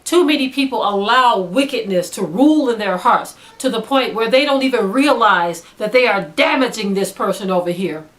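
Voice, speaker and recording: A woman's voice: on the high side, fairly bright tone. A woman speaking as a religious teacher in a sermon style, earnest and emphatic, urging listeners with repeated phrases. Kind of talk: sermon-like spoken exhortation.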